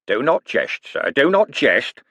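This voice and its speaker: A man's voice: mid-range voice, big pitch swings, a little slow, a bit bright, dry recording, voice pushed up. A man voicing a sly older male con man who poses as a preacher, wheedling and mock-pious with folksy slang. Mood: mock-solemn.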